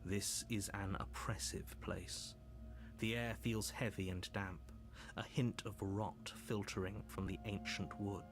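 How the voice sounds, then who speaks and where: A stern game narrator with a lowish, measured voice, dry and commanding. Clear game music runs under every line. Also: male voice, steady tone. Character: stern male narrator voice guiding the hero.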